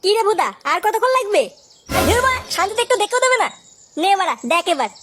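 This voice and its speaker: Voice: very high-pitched, very animated, fast talker, background noise. A voice actor playing a sneaky, cursing young village rascal in a comedy cartoon. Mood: impatient, irritated. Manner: crude, cursing, cheeky. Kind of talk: exaggerated comic cartoon dubbing.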